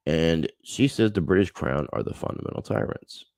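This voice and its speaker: A man's voice: deep voice, big pitch swings, moderate pace, vocal fry, darkish tone, no echo, clean studio sound. A man hosting a livestream, chatting casually and earnestly to his viewers. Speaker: livestream host.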